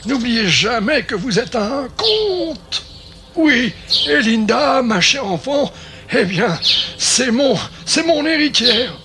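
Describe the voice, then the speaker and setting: A man's voice: very high-pitched, very animated, slow, pushed and bright, full character voice. A man voicing a fussy, polite old professor in a cartoon, exclaiming as he talks. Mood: flustered.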